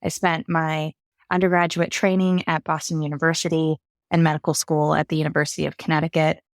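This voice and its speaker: A woman with a notably deep voice talks in a relaxed, conversational manner. Her voice is close and dry, with no room sound around it. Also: fairly quick, dry recording. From United Kingdom, raised in Canada. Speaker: cardiology fellow, guest.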